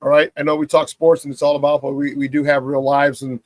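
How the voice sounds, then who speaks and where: A man hosting a morning sports talk show, hoarse from a cold, talking in a hyped, slangy, casual way. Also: fairly high pitch, little pitch movement, no room sound. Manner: hyped, slangy, drawn-out hype calls. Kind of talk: casual chat.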